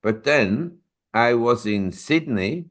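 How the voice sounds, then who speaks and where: A man's voice: medium pitch, expressive, takes it slowly. A man in his seventies, a newsletter publisher and interview guest, telling long rambling anecdotes with self-corrections. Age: 70s.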